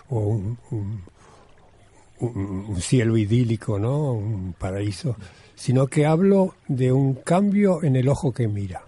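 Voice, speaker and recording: A man's voice: mid-range voice, mellow. A man with an Argentine accent, a Zen monk being interviewed, talking reflectively and searching for words, often repeating a phrase. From Buenos Aires, Argentina. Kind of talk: reflective conversation.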